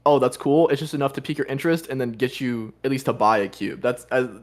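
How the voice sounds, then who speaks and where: A man's voice: medium pitch, quick pace. A young man, a cubing coach from the United States, talking casually as a guest on a podcast, with many "like"s and fillers over a video call.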